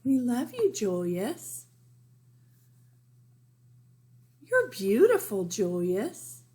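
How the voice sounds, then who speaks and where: A woman's voice: fairly high pitch, huge pitch swings, slow pace, warm tone, exaggerated voice. A woman voicing a doting, patient storybook mother in a gushing, emphatic way. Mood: adoring. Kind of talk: storybook read-aloud.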